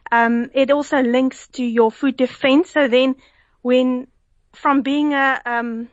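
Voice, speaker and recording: A woman's voice: sits high, steady tone, takes it slowly, no echo. A South African woman, a food fraud researcher, speaking as a guest in a radio phone interview, explaining at length with restarts and often giggling. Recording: dull phone line.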